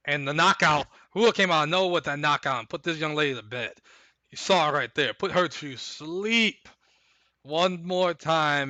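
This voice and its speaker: A man hosting a live stream, chatting casually and recapping fights in a rambling, hyped way while his voice goes hoarse. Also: a little high, pushed and bright, no echo. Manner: rambling, hyped, repeats himself. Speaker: stream host.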